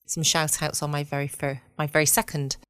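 A woman's voice: sits low, flat delivery, brisk, clear, resonant tone, no room sound, studio quality. A woman, chatting as host of a late-night bedtime podcast. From United Kingdom.

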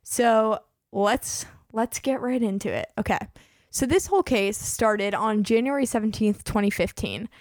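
A woman's voice: a little high, measured pace, few pauses, darkish tone, no room sound. Female host, 20s, chatty and casual, voice fraying, dry room. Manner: chatty, peppers speech with "like". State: losing her voice. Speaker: podcast host. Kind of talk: casual true-crime podcast chat.

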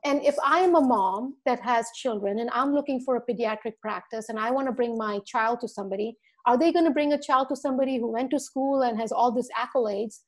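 Middle-aged woman, dental marketing coach, from India via the Virgin Islands; sincere, fairly high-pitched. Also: brisk, hardly any pauses. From India, raised in the U.S. Virgin Islands. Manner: earnest, speaks from the heart, acts out quoted dialogue. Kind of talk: casual podcast conversation.

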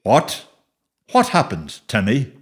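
A man voicing a calm, practical father in a story, speaking in a measured, reassuring manner. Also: medium pitch.

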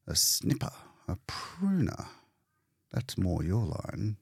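A man's voice: on the low side, huge pitch swings, slow, creaky, slightly rough, no echo, whispered, clean studio sound, heavily acted. A man voicing a sardonic painter in a storybook reading, with a wry, mock-polite, needling manner. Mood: mock delight. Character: sardonic, bleakly mocking male painter.

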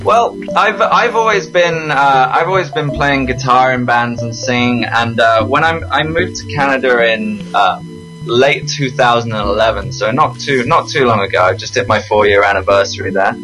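A man with an English accent from Leicestershire, a guest musician telling stories in a relaxed podcast interview. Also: mid-range voice, normal speed, over music, rough recording. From England. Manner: chatty, storytelling, self-deprecating.

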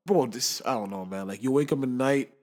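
A man's voice: medium pitch, a little fast, a little mellow. Slangy rambling young man. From Canada. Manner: slangy, rambling, laughs often. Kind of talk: casual chat.

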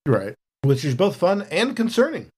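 A man with a fairly high, expressive voice explains his moves in a tabletop role-playing game, keeping it casual and conversational. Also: moderate pace, breathy edge.

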